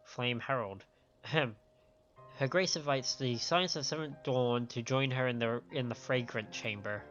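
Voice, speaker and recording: A courteous male herald from a fantasy game, read aloud by a man, delivers formal lines in a mid-pitched voice. The audio is slightly dull, with faint music. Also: measured pace, fairly bright tone, lightly acted. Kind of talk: reading game dialogue aloud.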